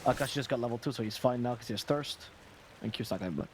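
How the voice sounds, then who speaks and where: A man co-casting a live esports match, laughing often and breaking into excited outbursts. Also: mid-range voice, racing, a little hiss or hum. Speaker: esports co-caster. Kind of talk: live game commentary.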